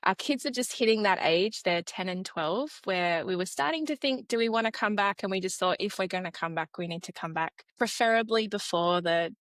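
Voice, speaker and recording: Casting: relaxed Australian woman in her forties, mid-pitched voice, dry sound. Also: fairly quick, hardly any pauses, no room sound. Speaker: guest expert. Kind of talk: casual interview conversation.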